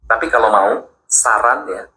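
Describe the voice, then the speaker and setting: A man speaking as a psychic, calmly giving advice. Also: lowish voice, moderate pace.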